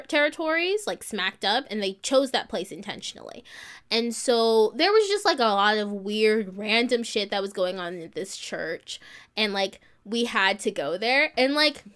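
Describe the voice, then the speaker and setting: A young woman with a high, childlike voice telling a personal story in casual podcast chat, joking glibly and swearing freely. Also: fairly bright tone. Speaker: podcast guest.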